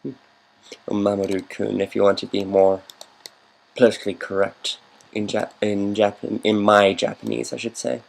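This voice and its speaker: A man with a fairly low voice talks casually over a video game. He rambles like a devoted fan, drifting from one aside to the next. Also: level tone, a little slow, creaky, poor audio. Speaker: game commentator.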